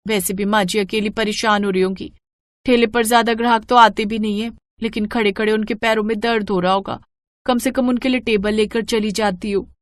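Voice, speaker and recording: A woman voicing a young, dutiful and caring village daughter-in-law in a moral story, earnest and expressive. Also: medium pitch, brisk, no echo, close to an everyday voice. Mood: worried, soft.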